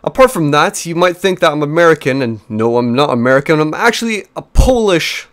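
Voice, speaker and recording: A man in his twenties from New Zealand, chatty and energetic, casting a strategy game replay with frequent fillers and restarts at a desk microphone. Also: a little high, fairly quick. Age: 20s. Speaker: game caster. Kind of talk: replay commentary.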